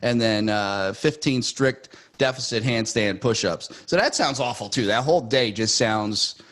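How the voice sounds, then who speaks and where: A man hosting a relaxed podcast conversation, his voice sitting at an ordinary middle pitch. He rambles easily with plenty of fillers and breaks into laughter often. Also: measured pace. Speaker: podcast host. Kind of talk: casual chat.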